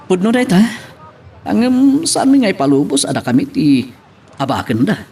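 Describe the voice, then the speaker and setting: A man's voice: a little high, sing-song, moderate pace, darkish tone, husky, heavily acted. A man playing a caring, earnest young husband in an Ilocano audio drama. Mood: earnest, tender.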